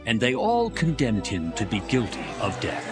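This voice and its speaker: Expressive male scripture narrator over music. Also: lowish voice, moderate pace.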